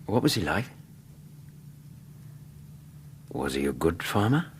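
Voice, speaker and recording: Courteous English physician for radio play: low, very expressive intonation, reassuring bedside manner, tactful questions. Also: male voice, brisk, slight background noise. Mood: gently probing. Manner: courteous, reassuring, gently probing. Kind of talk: radio drama acting.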